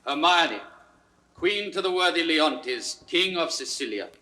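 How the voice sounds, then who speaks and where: An actor playing a formal court officer in classical Shakespearean style, with a British accent and a ceremonial, proclaiming manner. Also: male voice, on the high side, pushed and bright. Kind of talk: classical Shakespearean stage acting.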